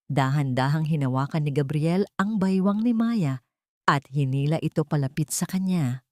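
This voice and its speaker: A low-pitched woman storyteller with a Filipino accent, recorded close and dry. She reads scripted dramatic narration in a measured, sentimental manner. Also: dark tone, no echo.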